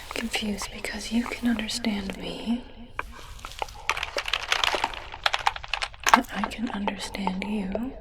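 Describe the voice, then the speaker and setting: A woman playing a soft-spoken, puzzled alien in an ASMR roleplay, speaking in a breathy whisper with a halting, measured clinical manner. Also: medium pitch, steady tone, heavy background noise, lightly acted. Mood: puzzled, focused. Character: soft-spoken, puzzled alien implanting a translator in a human's brain.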